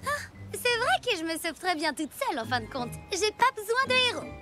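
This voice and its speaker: Bossy cartoon girl: extremely high, lively swoops, brash; loud music behind. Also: fairly quick. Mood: self-assured. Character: bold, bossy, self-reliant young prehistoric girl. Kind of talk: French animated cartoon dub.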